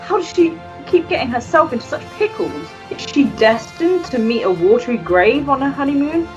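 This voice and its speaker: A melodramatic radio serial narrator acted by a woman. Her high voice swings about with theatrical alarm over faint music. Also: animated, normal speed, dull audio. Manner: overdramatic rhetorical questions.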